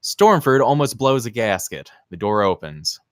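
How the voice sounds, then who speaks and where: Man reading screenplay directions, lively and swooping. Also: on the low side, moderate pace, no room sound, studio quality. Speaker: stage-direction reader at a table read. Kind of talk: scripted screenplay narration.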